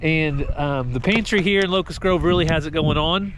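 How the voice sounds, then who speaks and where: Higher-pitched man vlogging in noisy surroundings. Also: moderate pace, a bit bright. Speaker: family farm vlogger. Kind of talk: casual vlog chat. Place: outdoors on a farm. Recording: noisy handheld outdoor farm recording.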